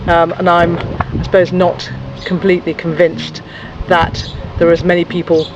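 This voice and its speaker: A man's voice: fairly high pitch, monotone, normal speed, dull audio, noisy background. A man from England giving earnest, opinionated answers, qualifying his points with many ums. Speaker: interviewee.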